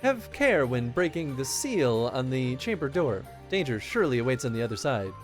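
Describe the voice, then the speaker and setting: A man's voice: medium pitch, sing-song, normal speed, faint music behind, close to an everyday voice. A man reading out the formal lines of a scholarly game character, stumbling now and then on long words. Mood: earnest. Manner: reads formal dialogue aloud, stumbling over big words.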